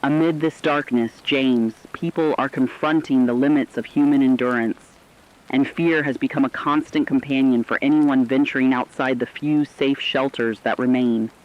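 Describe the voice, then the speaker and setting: A man's voice: mid-range voice, steady tone, moderate pace, lightly acted. A man playing a grave radio news correspondent, giving a formal, urgent and dramatic scripted report on a catastrophe. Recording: dull lo-fi radio-drama sound.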